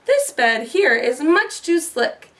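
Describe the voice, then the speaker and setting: A woman's voice: high-pitched, very animated, slow pace, fairly bright tone, heavily acted. A woman voicing a mischievous, carefree little girl in singsong rhymes for a storybook, a bit far from the mic. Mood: fussy, chatty. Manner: chanting, exclaiming in singsong rhymes. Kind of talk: children's storybook read-aloud.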